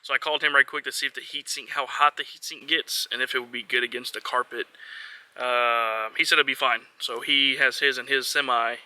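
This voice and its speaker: A man's voice: medium pitch, steady tone, fairly quick, pushed and bright. A man talking informally as he works through a hands-on installation, chatting to viewers in a casual how-to vlog style and thinking aloud. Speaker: vlogger and trucker showing a DIY install.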